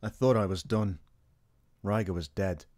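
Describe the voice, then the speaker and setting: A man's voice: lowish voice, steady tone, a little slow, a little mellow, lightly acted. A man playing a hard, vengeful police detective in an amateur radio play. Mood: subdued.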